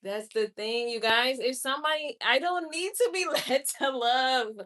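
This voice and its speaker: A woman's voice: high voice, moderate pace, a bit bright. A woman in her late twenties chatting candidly and with strong opinions on a livestream, answering her viewers' comments directly. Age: 20s. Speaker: livestream host.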